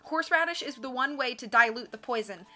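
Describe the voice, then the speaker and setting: A woman's voice: sits high, fast talker, sharp tone, faint music behind. A woman voicing a practical, determined orphan girl explaining firmly in a storybook.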